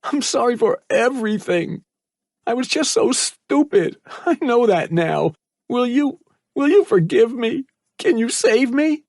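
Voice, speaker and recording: A man's voice: extremely high pitch, big pitch swings, measured pace, a little mellow, no room sound, pitched above the performer's own voice, full character voice. A man voicing a desperate, abused teenage boy who pleads in a trembling, breathless way. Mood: pleading.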